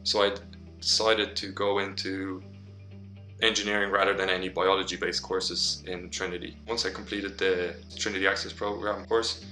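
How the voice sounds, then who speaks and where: Deep flat-voiced young man from Poland. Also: moderate pace. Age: young adult. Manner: steady, with small restarts. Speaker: engineering student. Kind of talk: personal account to school students. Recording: echoey room, faint music.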